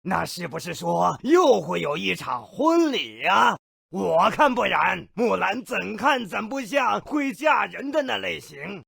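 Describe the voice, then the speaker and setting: Game gnome narrator: cynical and bloodthirsty, forceful raspy edge, swooping theatrical delivery, very dry recording. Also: male voice, high-pitched, very animated, a little slow, dry recording, full character voice. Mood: sardonic storytelling. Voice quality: gravelly, raspy. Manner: theatrical, sarcastic, gleefully morbid. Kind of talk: theatrical fairy tale narration.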